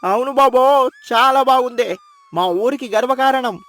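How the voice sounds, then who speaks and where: A woman voicing an excitable, credulous villager with a creaky voice in a moral story. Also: sits high, a little slow, faint music behind. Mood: excited awe.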